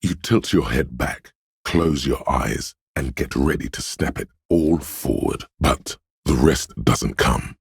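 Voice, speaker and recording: Super deep husky paranoid inner voice for a video game, slow n ominous, like raw fear and menace talking. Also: male voice, no echo, studio quality, full character voice. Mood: ominous, hushed. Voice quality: gravelly, husky, breathy. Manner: slow, ominous, intense delivery. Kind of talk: dramatic video game voice acting.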